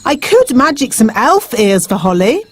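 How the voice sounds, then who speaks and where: A woman's voice: on the high side, very animated, moderate pace. A woman voicing a helpful, confident British fairy nanny in a children's cartoon, polite and matter-of-fact. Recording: noisy cartoon soundtrack with clicks.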